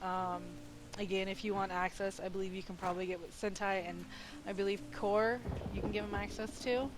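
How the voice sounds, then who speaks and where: A woman's voice: mid-range voice, steady tone, brisk. Practical woman giving guild bank news. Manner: plain, practical, with fillers. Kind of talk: casual voice chat announcement.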